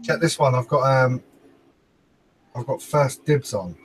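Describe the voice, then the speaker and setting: A man's voice: medium pitch, measured pace, slight background noise. A man chatting informally and bluntly as a panellist on a talk show. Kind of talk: casual chat.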